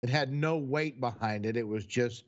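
A man's voice: medium pitch, normal speed. A man co-hosts a casual podcast, talking in an earnest, advice-giving way. His recording sounds slightly dull and lo-fi. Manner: earnest, gives advice to listeners. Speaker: podcast co-host. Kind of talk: casual chat.

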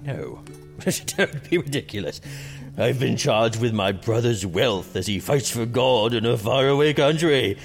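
A man's voice: medium pitch, expressive, measured pace, creaky, faint music behind. An actor playing a pompous, drunken, self-indulgent prince acting as king in a theatrical, haughty medieval audio drama. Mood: dismissive, grand.

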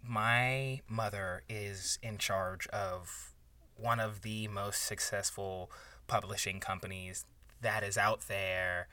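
Insecure jealous writer man, whiny sarcastic and stammery, really sharp tone, slow pace, comedy podcast drama. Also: lowish voice, steady tone, dry recording. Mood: bitter, indignant. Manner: agitated, stammering, sarcastic, overdramatic. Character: bitter, insecure aspiring male writer jealous of his rival. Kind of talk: improvised comedy audio drama.

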